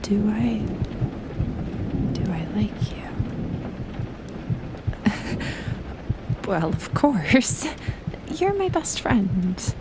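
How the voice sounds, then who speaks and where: A woman playing a shy, teasing young woman secretly in love with her best friend, speaking playfully and hesitantly in breathy half-whispers in an intimate audio roleplay. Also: mid-range voice, sing-song, extremely slow, pauses often, heavy background noise. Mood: fond, surprised. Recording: rain and thunder sound effects behind. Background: rain and thunder.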